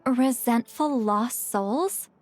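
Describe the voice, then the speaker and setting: An earnest young shaman in a video game. Her voice is clearly high and she sounds thoughtful and curious, questioning what she hears. Faint music plays behind her. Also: drawn-out words. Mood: puzzled, thoughtful.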